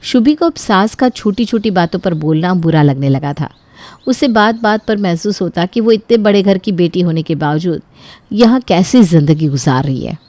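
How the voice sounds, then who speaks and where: A woman's voice: lowish voice, animated, normal speed, a little mellow, no room sound. A woman narrates a scripted domestic tale. She is the storyteller, and her voice comes through dry, free of room sound.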